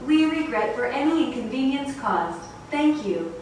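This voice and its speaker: A woman reading a formal, measured, scripted public address announcement, played through an intercom speaker. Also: high-pitched, big pitch swings, darkish tone. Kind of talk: scripted pre-recorded announcement. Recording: very echoey intercom playback, distant, faint noise.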